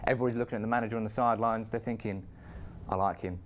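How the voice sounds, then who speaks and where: Low-voiced man debating fast. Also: fairly even intonation, slight background noise. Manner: dramatic storytelling, keeps talking over others. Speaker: Manchester United fan pundit. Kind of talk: heated football debate.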